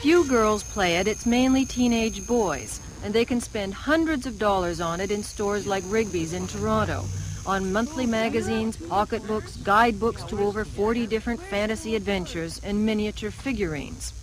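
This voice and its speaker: A woman's voice: medium pitch, moderate pace, low-quality recording. A woman with a North American accent delivering scripted news documentary narration as a television reporter. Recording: faint noise, old TV broadcast tape.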